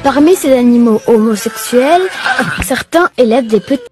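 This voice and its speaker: A woman reading scripted educational narration in a measured, didactic way. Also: on the high side, lively intonation. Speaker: documentary narrator. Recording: music clearly behind the voice. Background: music.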